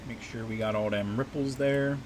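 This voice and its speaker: A man's voice: medium pitch, moderate pace. A man with a slightly scratchy voice hosting a casual livestream from a small home desk, chatting loosely with lots of fillers and restarts as he works. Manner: rambling, relaxed, many fillers and restarts. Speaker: livestream host and map maker. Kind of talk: casual livestream chat while working. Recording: steady air-conditioner hum behind voice. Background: air-conditioning hum.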